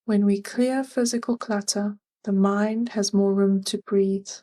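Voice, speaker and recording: A woman leading a scripted guided meditation, giving gentle, measured and soothing instructions. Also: medium pitch, steady tone.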